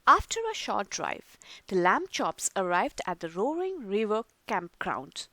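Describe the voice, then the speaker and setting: A woman reading a children's picture book aloud, slowly and expressively. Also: mid-range voice, very animated, sharp tone, no echo. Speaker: storyteller.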